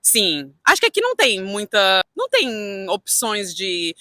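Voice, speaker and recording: A woman's voice: mid-range voice, huge pitch swings, measured pace, sharp tone, no echo, clean studio sound. A young woman from Canada with a Brazilian Portuguese accent, chatting casually and telling anecdotes as an interview guest. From Toronto, Canada. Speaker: interview guest, real estate agent.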